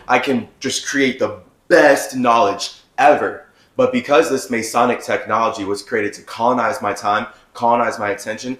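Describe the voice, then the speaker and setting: Raspy man ranting in echoey room. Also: mid-range voice, fairly bright tone. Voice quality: raspy, strained voice. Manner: heated, ranting, with run-on sentences. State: losing his voice. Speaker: vlogger host. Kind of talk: agitated monologue to camera. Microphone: phone.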